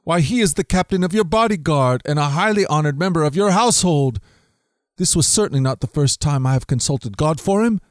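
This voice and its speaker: A man's voice: a little high, animated, a little fast, no echo, pitched above the performer's own voice, close to an everyday voice. A frightened male priest pleads with his king, deferential and earnest, his voice lifting slightly, as a man reading scripture puts him on. The voice is close and dry, with no room around it. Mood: pleading.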